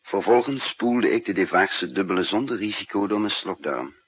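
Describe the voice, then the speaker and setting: An actor playing a shrewd, composed male spy who narrates and talks in a measured, wry way in a 1960s radio drama. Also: lowish voice, animated, breathy edge, no room sound. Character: shrewd fugitive male spy, calm and teasing. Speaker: lead character and narrator. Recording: muffled noisy 1960s radio recording.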